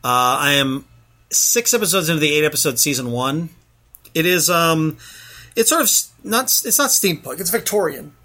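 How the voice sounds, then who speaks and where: A man's voice: on the high side, lively intonation, normal speed, sharp tone. A man hosting a casual podcast chat, rambling through a show review with lots of fillers and restarts.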